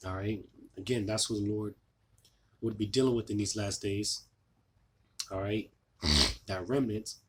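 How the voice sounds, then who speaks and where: Low hoarse man preaching in halting bursts. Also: fairly even intonation. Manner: halting, many fillers and restarts. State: losing his voice. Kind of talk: informal sermon video.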